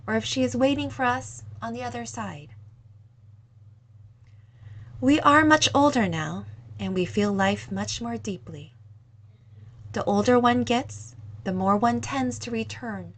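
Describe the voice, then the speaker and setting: A woman reading a short story aloud in a measured, gentle storytelling manner. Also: medium pitch, rough recording. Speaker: reader. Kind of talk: scripted narration of a short story.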